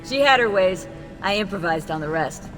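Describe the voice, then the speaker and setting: A woman's voice: medium pitch, expressive, moderate pace, big-room sound, over music, lightly acted, rough recording. An actress playing an easygoing, teasing female tech expert in casual video game dialogue. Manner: teasing, offhand.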